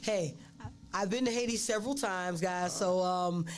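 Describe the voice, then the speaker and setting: A woman's voice: on the low side, moderate pace, faint music behind. Rambling woman host, lots of fillers. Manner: rambling, repeats words, many fillers. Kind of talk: casual interview talk.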